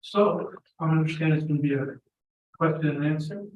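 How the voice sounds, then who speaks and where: A man's voice: a little high, fairly quick. A man with an Irish accent giving informal answers as a public works director at a council meeting. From Ireland. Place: meeting room heard over a video meeting. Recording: echoey meeting room, distant muffled mic, faint hiss.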